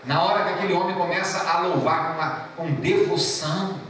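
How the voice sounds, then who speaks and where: A man with a Brazilian Portuguese accent, a pastor preaching a sermon emphatically, repeating key phrases slowly and telling a story with animated rises and drops. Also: high-pitched, heavy background noise. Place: church hall. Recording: echoey church from far off.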